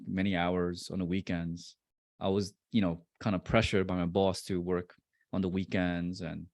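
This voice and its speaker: A man in his forties, a real estate investor, answering interview questions in a halting way with many fillers and restarts. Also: low-pitched, no echo, clean studio sound. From born in Vietnam, raised in New Jersey.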